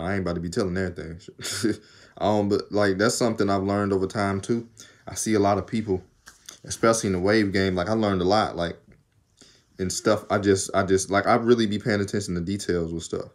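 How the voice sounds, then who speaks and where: Young Southern vlogger, low-pitched, talkative and laid-back, speaking into a phone at arm's length. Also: male voice, steady tone, fast talker. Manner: rambling, talkative, laughs often. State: arms tired while undoing braids. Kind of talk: casual chat to camera. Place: small room indoors. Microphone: phone camera at arm's length.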